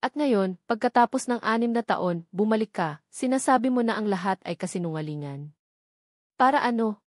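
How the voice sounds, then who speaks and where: A woman's voice: a little high, lively intonation, normal speed, dry recording, studio quality, lightly acted. A woman voicing a hurt, angry, protective young mother in a narrated love story, emphatic and accusing. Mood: trembling, distrustful.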